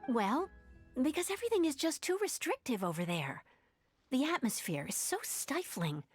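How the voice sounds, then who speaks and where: A woman playing a gentle, earnest young refugee in a video game, speaking a little hesitantly. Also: high-pitched, huge pitch swings, normal speed, breathy edge, faint music behind, exaggerated voice. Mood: earnest, troubled. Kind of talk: video game character dialogue.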